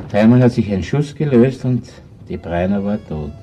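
An actor as a low-voiced, mellow Austrian police inspector. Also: male voice, expressive, normal speed, faint music behind, lightly acted. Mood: calm, understanding. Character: shrewd, unhurried male Viennese police chief inspector. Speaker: actor in a crime radio play. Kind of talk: naturalistic radio crime drama acting.

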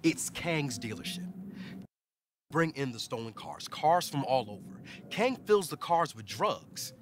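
An actor playing a cocky, excitable young man in a crime crew, speaking informally in naturalistic game dialogue. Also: a little high, a little slow, slight background noise. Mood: excited, knowing. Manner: animated, informal.

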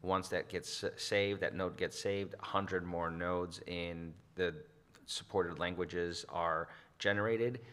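A man with a low voice and a bright, forceful tone answers audience questions after a conference talk. He restarts his sentences often and leans on fillers as he explains. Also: steady tone, slow. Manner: hesitant, many restarts and fillers. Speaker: conference presenter.

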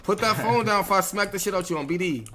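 Brash high-pitched man, street slang. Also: fast talker. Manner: brash, slangy, repeats phrases. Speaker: streamer role-playing in an online game. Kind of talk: improvised street role-play chat. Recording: noisy gaming mic, vehicle ambience.

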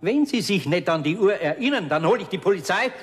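A gruff but good-natured older Bavarian carpenter. His voice sits a little high for a man, and he talks in a blunt, folksy way, in the manner of a 1970s radio play. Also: expressive, fairly quick. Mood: firm.

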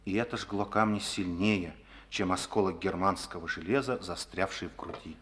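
Solemn low-voiced radio narrator. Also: male voice, normal speed, fairly bright tone, a little hiss or hum. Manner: solemn and declamatory. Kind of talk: scripted radio drama narration.

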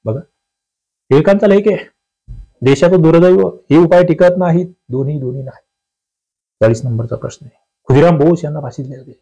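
A man's voice: on the high side, brisk, pauses often, dark tone, husky, rough recording. A man with a Maharashtrian accent teaching an exam question walkthrough, instructing students directly and repeating key phrases.

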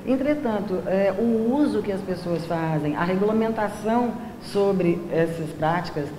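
A woman's voice: mid-range voice, lively intonation, a little slow. A woman with a Brazilian accent, a researcher explaining her view in an interview discussion. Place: indoor room. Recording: noisy echoey room.

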